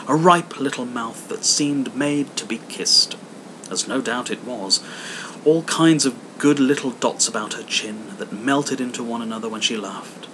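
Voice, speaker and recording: A man reading literary fiction aloud as an expressive audiobook narrator. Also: mid-range voice, noisy background. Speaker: audiobook reader.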